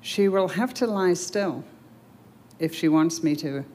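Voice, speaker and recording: A woman voicing a tender, patient male healer who speaks quietly and gently. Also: on the low side, animated, a little slow. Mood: gentle.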